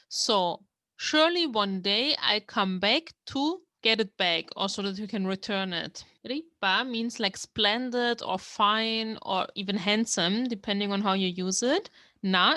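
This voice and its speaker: A woman from Germany, hosting a language channel and slowly translating manga lines word by word. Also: medium pitch, sharp tone, dry recording. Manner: slow, careful explanation of words. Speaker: language-learning channel host. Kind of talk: reading and translating manga line by line.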